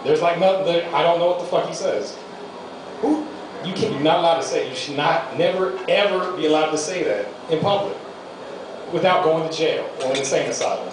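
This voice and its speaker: A man's voice: a little high, brisk, noisy background. A young man doing a loose, rambling stand-up comedy set at a small venue. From United States. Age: young adult. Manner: loose rambling delivery with fillers. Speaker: amateur stand-up comic. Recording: echoey room, mic far off.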